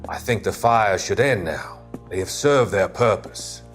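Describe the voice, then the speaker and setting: An actor playing a middle-aged, manipulative doctor in a game, measured and persuasive on a telephone call. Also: male voice, on the low side, faint music behind. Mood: persuasive, solemn. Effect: telephone conversation.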